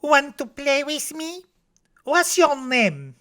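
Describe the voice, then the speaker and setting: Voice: sits high, very animated, slow, a bit bright, pitched above the performer's own voice, heavily acted. A storyteller voicing an eager, singsong, chattering little girl in a children's storybook reading. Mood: curious.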